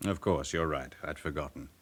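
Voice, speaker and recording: A man's voice: extremely low pitch, normal speed, a bit bright, a little hiss or hum. An actor playing a respectable businessman with a past in a radio drama, speaking formally, with controlled and faintly haughty pride. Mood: guarded, courteous. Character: smooth respectable businessman with a past, guardedly proud. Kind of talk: naturalistic radio drama acting.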